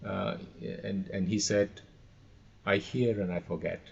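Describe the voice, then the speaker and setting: A man's voice: fairly low pitch, steady tone, a little slow, creaky, breathy edge. A middle-aged or older man with an Indian accent answering interview questions as a guest trainer, telling anecdotes and repeating phrases for emphasis. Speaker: audio and radio trainer, podcast guest. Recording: dull, lo-fi call audio.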